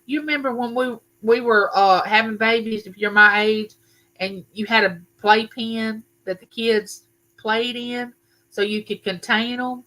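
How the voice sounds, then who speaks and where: An older woman, hosting a casual live chat from her home, talking loudly and chattily and greeting viewers by name. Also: a little high, steady tone, a little slow, poor audio. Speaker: livestream host. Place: home room used for filming.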